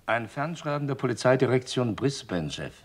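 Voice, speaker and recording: A man's voice: mid-range voice, sing-song, moderate pace. A male actor playing a dutiful police subordinate in a German crime radio play, reporting in a formal, businesslike way.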